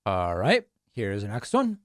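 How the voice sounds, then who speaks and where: A man's voice: on the low side, huge pitch swings, a little slow, dry recording. Casual male host, dry close sound. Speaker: show host. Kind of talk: casual live commentary on voicemails.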